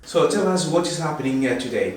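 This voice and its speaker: A man interviewing casually in English that is not his first language, asking halting, rephrased questions. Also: a little high, lively intonation. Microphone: far from the microphone. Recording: very echoey, distant mic, faint noise.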